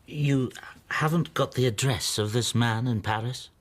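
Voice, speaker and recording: An actor playing a mild, lonely, wronged bookseller in despair, halting and introspective in a radio drama. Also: male voice, mid-range voice, no echo, lightly acted. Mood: anxious, subdued. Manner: introspective, halting, talking to himself. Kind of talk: radio drama acting with interior monologue.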